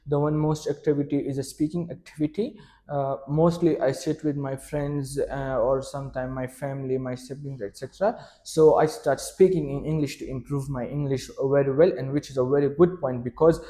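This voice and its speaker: A man's voice: mid-range voice, dark tone. A young man whose first language is Pashto, answering questions in a mock speaking exam with many fillers and restarts. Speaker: test candidate.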